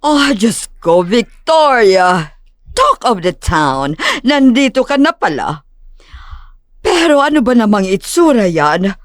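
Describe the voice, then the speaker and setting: A woman's voice: medium pitch, sing-song, slow pace, dry recording, studio quality. A woman playing a rich, middle-aged, condescending mother in an audio drama, theatrical and snobbish, sprinkling English phrases into her speech. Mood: shocked, scornful.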